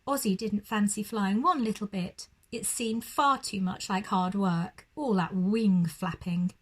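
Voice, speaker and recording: A woman's voice: mid-range voice, a little slow. A woman reading a children's bedtime story expressively, like a primary school teacher addressing young children.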